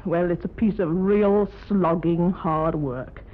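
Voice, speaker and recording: A woman's voice: fairly low pitch, slow pace. A woman, talking emphatically and approvingly as a judge in a radio discussion. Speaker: competition judge. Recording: muffled, band-limited 1950s radio broadcast.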